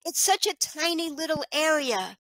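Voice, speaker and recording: A woman's voice: sits high, normal speed, dry recording, low-quality recording. A middle-aged woman on a video call through a headset mic, chatting animatedly as a guest and often saying "you know". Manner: animated, gestures a lot, lots of "you know". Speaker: guest, children's author. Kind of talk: casual video call chat. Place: small room at home.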